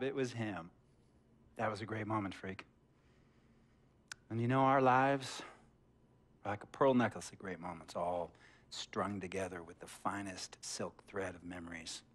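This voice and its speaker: An actor playing a wealthy middle-aged tech mogul in a video game cutscene, smooth and self-satisfied as he tells long stories. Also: fairly low pitch.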